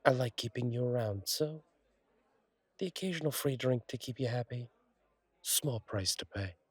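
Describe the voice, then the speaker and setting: Casting: male actor for an easygoing fallen angel barkeep, mid-pitched and husky, wry and kind, intimate conversational roleplay. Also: moderate pace, no room sound, natural voice. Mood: friendly, generous. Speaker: bartender. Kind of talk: intimate audio roleplay, one-sided conversation.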